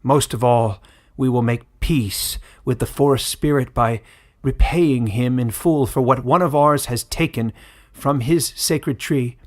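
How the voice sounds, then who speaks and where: A man's voice: mid-range voice, measured pace, lightly acted. A man voicing a solemn, self-righteous old village elder who makes formal speeches in a fantasy story. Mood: solemn, grave.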